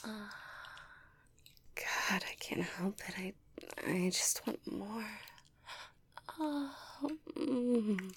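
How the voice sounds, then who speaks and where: A woman's voice: medium pitch, very slow, pauses often. A young woman speaking in an intimate audio roleplay, whispering breathily and sighing between words.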